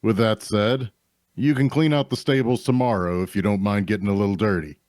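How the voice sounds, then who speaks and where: Man playing an easygoing tavern keeper, low dry voice. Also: steady tone, brisk, a little mellow, no echo, natural voice. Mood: matter-of-fact. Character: easygoing, practical male tavern keeper, helpful and plainspoken. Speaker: actor in a table read. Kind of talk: fantasy audio drama table read.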